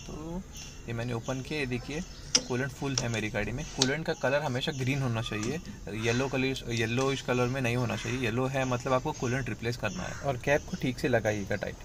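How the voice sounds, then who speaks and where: A man walks viewers through car checks in a plain, explanatory tone, calling them friends. He speaks at a mid pitch, recorded on a handheld phone outdoors beside a car, with a faint hiss of open-air noise behind him. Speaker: car vlogger. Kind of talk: how-to car maintenance walkthrough.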